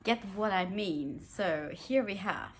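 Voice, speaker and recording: A woman's voice: mid-range voice, slow pace. A young woman, a native German speaker, talking casually about books she read, giggling often and using lots of fillers and restarts. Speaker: book reviewer. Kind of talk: casual book review vlog.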